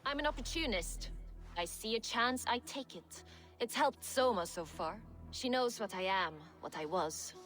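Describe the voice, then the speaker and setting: A woman's voice: on the high side, expressive, measured pace, fairly bright tone, soft background music. An actress playing a wry, opportunist Viking warrior woman in a video game, speaking dryly and frankly. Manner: dry, frank, unbothered. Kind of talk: dramatic game dialogue acting.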